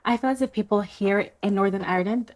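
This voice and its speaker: Podcast host, woman from Zimbabwe, guides the talk with questions, mid-pitched voice. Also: fast, darkish tone. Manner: guiding, asks questions. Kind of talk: casual chat.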